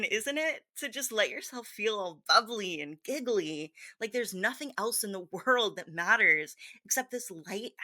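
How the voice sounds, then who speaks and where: A woman's voice: mid-range voice, bright, forceful tone. A woman performing a playful hypnosis role-play, speaking in a giggly, scattered, cutesy and encouraging way. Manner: giggly, bubbly, scattered, with breaks into laughter. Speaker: hypnosis performer playing a confused teacher.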